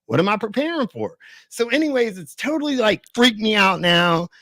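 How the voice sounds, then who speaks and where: A man's voice: high voice, big pitch swings, moderate pace, sharp tone, slight background noise. A man telling a story casually and animatedly, with slangy, emphatic phrasing and frequent repetition. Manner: slangy, emphatic, repeats phrases, says "bro" and "dude". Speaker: podcast storyteller.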